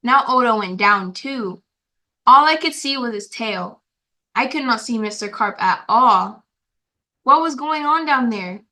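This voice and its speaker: A woman reading a story aloud to children in an expressive, emphatic way, addressing young listeners directly. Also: fairly high pitch, a little slow.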